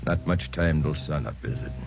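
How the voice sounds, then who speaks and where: An actor playing a commanding police captain giving a measured, methodical briefing, in 1950s radio drama style, on a dull lo-fi old radio broadcast. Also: male voice, rumbling low, gravelly, music in the background. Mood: grim.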